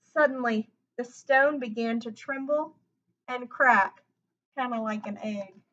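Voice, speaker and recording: High-voiced woman reading a storybook, slightly muffled. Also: slow pace. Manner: animated, chatty asides to listeners. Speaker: teacher reading a picture book aloud. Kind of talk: expressive children's read-aloud with asides.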